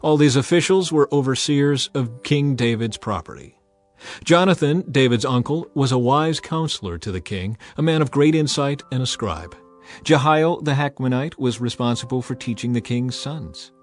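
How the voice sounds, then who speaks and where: Male scripture narrator, mid-range voice, measured and solemn scripted read, light music bed. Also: mid-range voice, expressive. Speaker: Bible narrator. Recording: music behind the voice. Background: background music.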